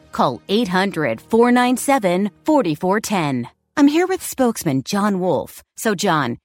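A woman hosting a scripted radio ad in a bright, salesy way. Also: mid-range voice. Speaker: ad host.

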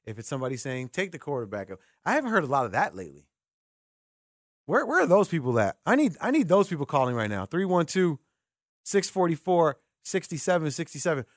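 A man's voice: a little high, sing-song, a little fast, no room sound, clean studio sound. A man hosting a sports call-in radio show, talking casually and giving opinions, repeating words for emphasis. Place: radio studio. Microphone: close studio mic.

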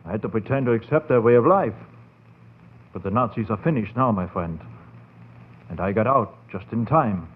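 A man's voice: lowish voice, lively intonation, moderate pace, pauses often, slightly rough. An actor playing a sly, proud German doctor in a 1940s radio horror drama, theatrical and insinuating in his emphasis. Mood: indignant, proud. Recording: tinny phone-like 1940s radio recording.

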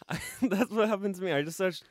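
A man's voice: high-pitched, a little fast, dry recording. A man with an Australian accent co-hosting a podcast, joking casually in a blunt, mock-indignant way. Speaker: podcast co-host. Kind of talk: casual comedic chat.

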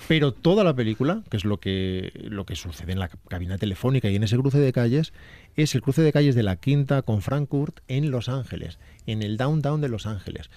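A man, giving detailed, measured film commentary on a radio chat show. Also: medium pitch, lively intonation, warm tone, dry recording.

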